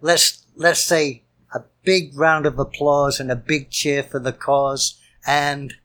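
A man's voice: a little high, flat delivery, slow, fairly bright tone. A man co-hosting a music show with casual, jovial and rambling banter. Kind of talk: casual chatty radio-style banter.

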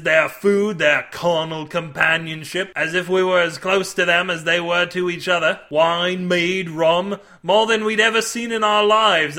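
Man acting a fervent old sailor, high and sharp. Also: full character voice. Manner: archaic salty sailor speech, fervent storytelling. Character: wild-eyed old male sailor, superstitious and dramatic.

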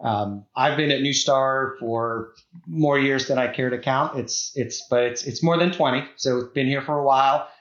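A man's voice: mid-range voice, normal speed, fairly bright tone, reverberant, muffled recording. A middle-aged man from the Czech Republic, a guest executive talking in a podcast interview, hesitant, with many fillers and restarts.

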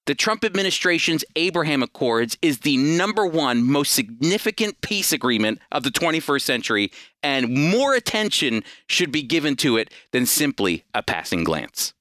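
A man speaks as a radio Bible teacher, with a very bright, punchy voice. His delivery is conversational yet earnest and emphatic. The sound is dry, with no room around it. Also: fairly high pitch, very animated, normal speed, no room sound.

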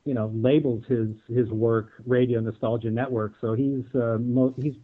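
A man's voice: mid-range voice, steady tone, moderate pace. A man speaking reflectively and hesitantly as a panelist in a casual discussion. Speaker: guest panelist, audio drama producer. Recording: narrow, tinny phone-like line.

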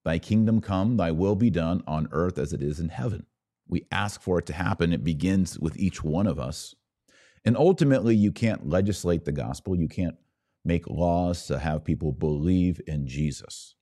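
Very deep-voiced man, earnest religious podcaster. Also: dark tone. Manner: earnest exhortation with deliberate repetition. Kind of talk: religious commentary, preaching to the audience.

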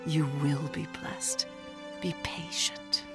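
A woman playing a tender, comforting friend in a biblical audio drama, soothing and hushed. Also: deep voice, steady tone, slow, music in the background. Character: tender, comforting female friend, slow and reassuring.

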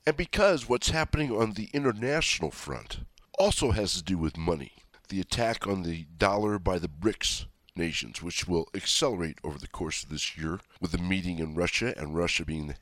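Folksy talk radio host, middle-aged man, stuffy from a cold, lowish voice, dry close studio sound. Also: expressive, moderate pace, fairly bright tone, no room sound. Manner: folksy, chatty, rambling asides. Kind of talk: conservative talk radio monologue.

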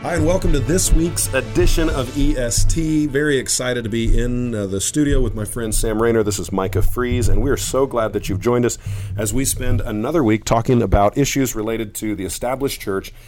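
A man's voice: mid-range voice, fairly quick, darkish tone, soft background music. A man hosting a podcast from a studio, talking casually in an upbeat, joking way with some fillers. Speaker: podcast host.

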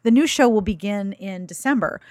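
A woman's voice: medium pitch, fairly quick, dry recording. Playful woman podcast host, dry close sound. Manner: playful, wry, expressive. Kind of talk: casual podcast conversation.

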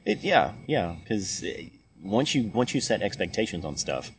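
Low-voiced man in loose movie chat. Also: big pitch swings, moderate pace. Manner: rambling, many restarts and fillers. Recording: muffled lo-fi recording with faint hiss.

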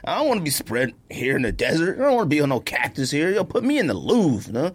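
Higher-voiced husky American man, wildly animated. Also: fast. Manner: slangy, joking, exaggerating, swears casually. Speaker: co-host of a sneaker podcast. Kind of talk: casual joking banter.

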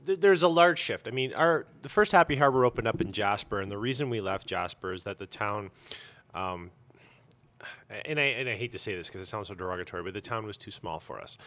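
A man telling stories informally as an interview guest, animated and a little self-deprecating. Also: lowish voice, brisk. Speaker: interview guest, comic store owner. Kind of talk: casual storytelling interview.

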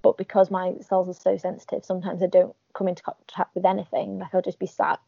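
A woman's voice: on the low side, monotone, fast talker, creaky, warm tone, husky, no room sound, highs cut off. A woman from Northern England with a Northern English accent, chatting casually and wryly as a podcast guest. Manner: chatty, wry, laughs at herself. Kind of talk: casual interview chat.

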